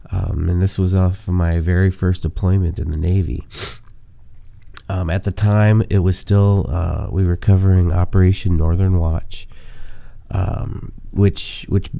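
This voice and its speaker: Stuffy-voiced man with a cold, very low and gravelly. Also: dark tone, no echo. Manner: rambling, many fillers, self-mocking. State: sick with a cold. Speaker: podcast host. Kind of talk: casual monologue and memoir reading.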